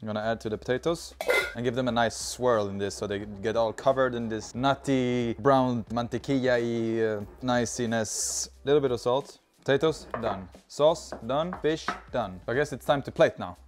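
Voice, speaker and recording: A man's voice: medium pitch, normal speed, soft background music. Cheerful Swedish-accented man cooking. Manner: chatty, cheerful, talks while working with food. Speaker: cooking video host. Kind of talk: casual cooking demonstration. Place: home kitchen with open garden door. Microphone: lapel mic.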